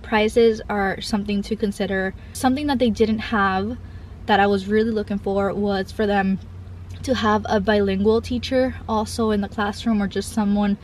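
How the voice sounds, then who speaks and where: A woman's voice: mid-range voice, fairly even intonation, normal speed, a little hiss or hum, poor audio. A young woman vlogging as a mom, talking casually and rambling, filling her sentences with "like" and "I feel like". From Cuban family. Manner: rambling, heavy use of "like" and "I feel like". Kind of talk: casual confessional vlog. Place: parked car, then outdoor park. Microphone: camera on dashboard or held close.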